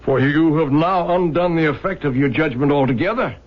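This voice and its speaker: A man's voice: a little high, fairly quick, natural voice. Man acting a meek, pious radio-drama brother. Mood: earnest. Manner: deferential, gentle, hesitant. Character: meek, respectful, pious brother of simple faith. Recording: dull lo-fi vintage radio recording.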